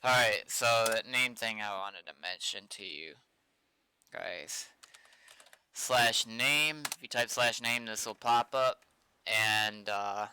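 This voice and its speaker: A man's voice: fairly low pitch, slow, pushed and bright, a little hiss or hum. A man giving casual, blunt livestream commentary with swearing while he works at a computer as a game server developer. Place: indoors at a computer.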